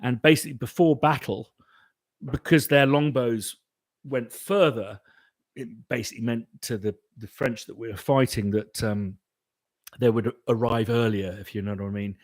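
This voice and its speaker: A man's voice: medium pitch, steady tone, moderate pace, no echo. A British man answering viewers' questions in a casual live chat, rambling with many restarts and fillers. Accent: British English. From Britain. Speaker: composer hosting a live Q&A.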